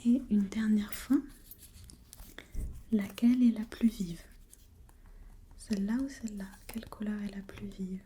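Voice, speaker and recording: A woman whispering breathily in an ASMR role-play as an examiner, gently asking step-by-step questions and giving short encouragements. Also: mid-range voice, steady tone, a little slow, long pauses, slight background noise.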